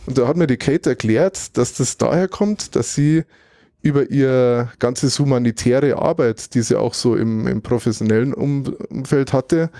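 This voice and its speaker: A man's voice: mid-range voice, moderate pace, dark tone, no room sound. A man from Germany chatting casually as a podcast co-host, thinking aloud and often searching for words as he goes. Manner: thoughtful, rambling, searches for words mid-sentence. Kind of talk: casual conversational recap.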